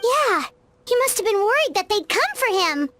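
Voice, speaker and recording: An actress voicing a small, gentle childlike creature who works as a nurse, in an earnest, sweet and curious video game performance. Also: female voice, very high-pitched, very animated, moderate pace, over music. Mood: reassuring. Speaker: game character.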